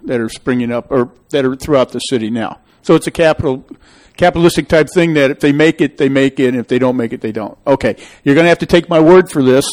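Middle-aged man, local meeting host, emphatic opinionated rambler, average pitch. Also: quick pace, darkish tone. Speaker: local official hosting a public meeting show. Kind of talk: town meeting discussion, opinionated.

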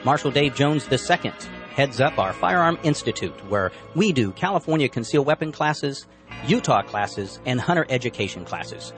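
A man reading a local radio advertisement in a steady, promotional way. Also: mid-range voice, normal speed, soft background music. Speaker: ad announcer. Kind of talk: scripted radio ad read.

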